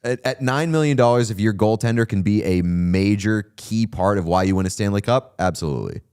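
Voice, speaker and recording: Deep-voiced animated man. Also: fairly quick, clean tone. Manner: animated, opinionated, frequent "like" fillers. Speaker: podcast co-host. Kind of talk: casual sports chat.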